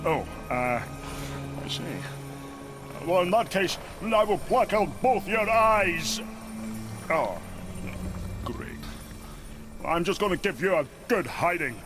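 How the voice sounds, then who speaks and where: An actor playing a boastful, easily wounded dragon in a video game, with a pompous, theatrical delivery. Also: male voice, high-pitched, sing-song, takes it slowly, pauses often, exaggerated voice. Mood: blustering. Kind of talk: video game character acting. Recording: music behind the voice.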